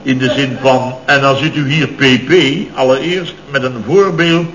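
An actor in a radio comedy playing an indignant, blustering boss of fifty who grumbles and exclaims, repeating himself. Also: male voice, mid-range voice, normal speed, close to an everyday voice. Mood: aggrieved. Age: 50s. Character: blustering, indignant fifty-year-old male building firm boss. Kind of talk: 1970s radio comedy acting. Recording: muffled, noisy old radio recording.

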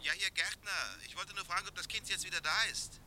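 A man's voice: quick pace, low-quality recording. An actor playing a sympathetic, awkward man offering hesitant reassurance in a German radio play. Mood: awkward sympathy. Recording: sharp sound with faint rumbling noise.

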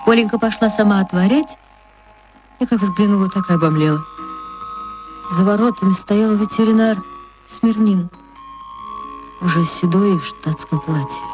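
A woman reading a literary story for radio as narrator, with an expressive, dramatic and deliberate delivery. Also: mid-range voice, over music.

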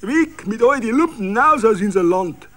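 Hoarse rowdy Bavarian drunks, high-pitched bawling. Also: male voice, expressive, normal speed. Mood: angry bawling. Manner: bawling, slurred festive shouting. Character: hoarse jeering drunken Bavarian village revelers, rowdy men.

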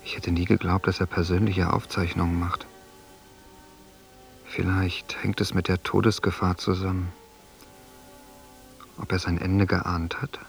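A brooding, introspective man reads a diary in a radio play. His voice is very low and creaky, measured and terse, with faint music behind it. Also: little pitch movement. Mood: brooding, subdued. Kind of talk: radio drama monologue, diary reading.

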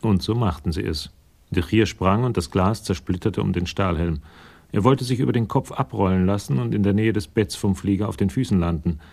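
A man with a gravelly voice reading scripted literary narration for a German radio crime drama. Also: fairly low pitch, fairly quick, a little mellow.

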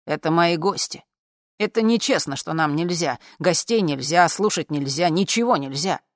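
A woman's voice: low voice, expressive, normal speed, no echo, studio quality. A woman voicing a brash, earnest male bar musician who talks in slangy, insistent bravado. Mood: earnest, aggrieved.

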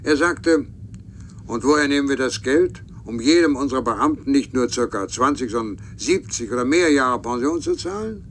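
A formal statesman played by a man narrates in a measured, medium-pitched voice, radio drama style. A faint noise lies under the voice. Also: lively intonation, close to an everyday voice. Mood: grave, recounting. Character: statesman recounting past events in a letter. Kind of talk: German radio play acting. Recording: faint rumbling noise behind voice.

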